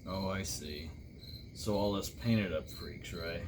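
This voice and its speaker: A dark shock-rock star hosting a talk show, played by a man. His voice sits low and he puts probing questions in a deliberate way. The recording has noticeable chirping noise behind it. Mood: measured, curious.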